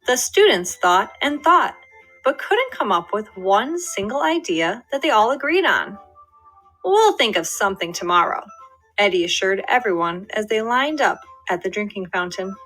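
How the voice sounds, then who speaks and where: A woman reading a children's picture book aloud in an expressive, lively storytelling way. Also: mid-range voice, moderate pace, faint music behind, poor audio. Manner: lively, expressive storytelling with voiced character lines. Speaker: storybook reader. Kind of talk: children's read-aloud narration.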